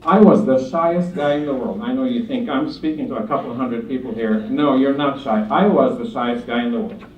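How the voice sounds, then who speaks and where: A man's voice: mid-range voice, moderate pace, few pauses, a little hiss or hum. A man from Lebanon giving an earnest, humorous motivational speech at a conference, telling stories with jokes and asides. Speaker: speaker at a conference. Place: conference hall. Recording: distant roomy hall sound, dull.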